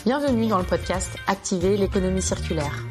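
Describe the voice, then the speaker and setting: A woman's voice: medium pitch, a little fast. A woman co-host reading a scripted podcast introduction. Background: music.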